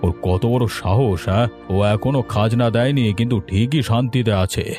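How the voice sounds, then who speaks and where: A man's voice: mid-range voice, a little fast, darkish tone, soft background music, close to an everyday voice. A man voicing a vain, domineering village landlord who broods menacingly in a fairy-tale cartoon. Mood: brooding menace.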